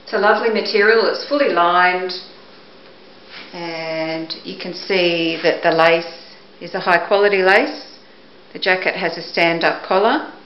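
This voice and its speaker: Slow-talking middle-aged woman in echoey room. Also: on the low side. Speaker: auction presenter. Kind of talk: product showcase, descriptive talk. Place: indoors, living or dining room at home. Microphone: camera microphone a few steps away. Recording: echoey room, dull muffled sound, background hiss.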